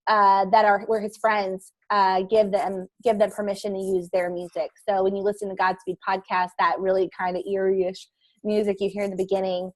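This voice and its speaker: A woman's voice: medium pitch, flat delivery, normal speed, rough recording. A woman in a video call, explaining how to start a podcast in a rambling, casual way, often searching for words. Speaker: podcast guest speaker. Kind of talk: casual how-to chat in an online meeting.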